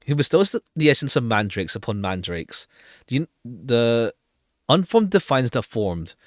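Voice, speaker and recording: A man's voice: mid-range voice, sing-song, unhurried, no echo, clean studio sound. A man streaming a game, chatting casually and reading dialogue aloud in a rambling, chatty manner. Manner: rambling, chatty, repeats himself. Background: background music.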